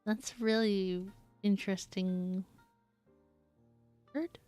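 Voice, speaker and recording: A woman's voice: mid-range voice, steady tone, extremely slow. A woman game streamer giving casual, chatty live commentary in a giggly manner. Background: game music.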